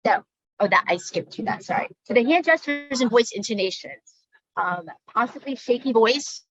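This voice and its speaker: A woman from the Philippines, a yoga instructor, telling her personal story in a halting way with frequent pauses. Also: medium pitch, a bit bright, dry recording, muffled recording. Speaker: guest speaker, yoga instructor. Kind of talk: personal talk.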